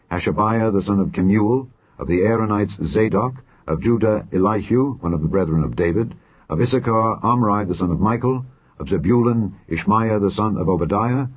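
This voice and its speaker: A man reads scripture aloud in a solemn, measured, formal manner, letting his phrases fall at the end. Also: deep voice, slightly rough, narrow, tinny audio. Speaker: scripture reader.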